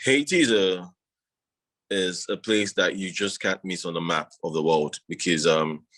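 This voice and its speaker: A man being interviewed, talking in a measured, reflective way with many "you know"s. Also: low-pitched, fairly bright tone. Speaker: guest. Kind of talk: casual interview.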